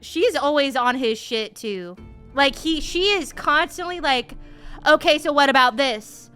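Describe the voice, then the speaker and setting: A young woman streamer giving casual game reaction commentary in an expressive, sarcastic, slangy and sweary way. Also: high voice, a little slow, soft background music. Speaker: VTuber streamer.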